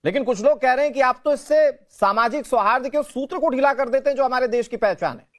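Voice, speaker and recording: Male debate anchor, very high voice, insistent, interrupts to press pointed questions. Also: fast, a bit bright.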